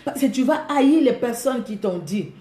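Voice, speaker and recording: Female preacher, Congolese French accent, fairly high voice with a warm, mellow tone, roomy echo. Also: expressive, normal speed. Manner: emphatic, repeats key words, laughs often. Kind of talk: sermon. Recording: echoey room.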